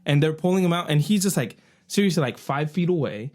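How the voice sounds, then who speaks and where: A man's voice: on the high side, animated, brisk, darkish tone. Casual guy telling rambling anecdotes. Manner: rambling anecdotes, acting out quotes. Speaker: podcast co-host. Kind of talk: casual chat.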